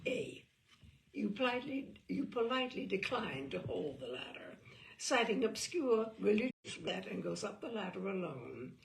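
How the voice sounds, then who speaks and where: A woman reading a book aloud as a storyteller, theatrical and expressive, with a wry, arch delivery. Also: mid-range voice, normal speed, creaky, slight background noise, poor audio.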